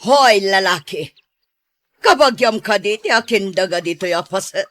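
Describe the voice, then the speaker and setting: A scheming, moneyed madam with a sharp, forceful voice. She is brash and commanding, drawing out her words in an unhurried radio drama style. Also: medium pitch, expressive, no echo, studio quality. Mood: bossy, brash. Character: bossy, scheming, moneyed madam planning a swimming pool business.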